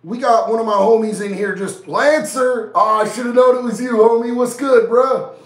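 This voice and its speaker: A man's voice: extremely high pitch, normal speed, echoey room, distant mic. A man in his thirties with a stuffy, nasal head cold, hosting a casual livestream and reading out viewers' chat in a laid-back, slangy way. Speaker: livestream host. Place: small indoor studio with neon lights.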